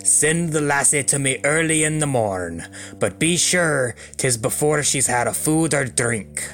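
A man's voice: medium pitch, animated, a little slow, fairly bright tone, faint music behind. A man voicing an old village wise-woman in a storybook tale, cryptic and commanding in a folksy way. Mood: instructing. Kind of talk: storybook fairy-tale character voice.

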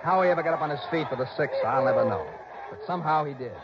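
A tough, earnest American detective , played by a male actor, tells his case in clipped, intense phrases. His voice sits a little high, in an old radio drama recording buried in heavy noise. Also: racing, muffled recording, natural voice. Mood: tense, building. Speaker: star and narrator. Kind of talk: dramatic first-person 1960s radio narration.

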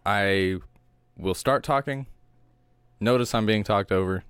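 A soft-spoken young man with a very low, deep voice talks through a podcast chat in a calm, reflective way. The sound is dry and close, with no room around him. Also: a little slow, clean tone, no room sound. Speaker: podcast co-host. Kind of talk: casual chat.

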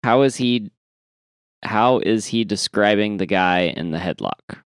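A man co-hosting a podcast in casual comic chat, sometimes sweary and halting as he thinks aloud. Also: lowish voice, clear, resonant tone, no echo, clean studio sound. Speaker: podcast co-host. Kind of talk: casual comic chat, reading listener emails.

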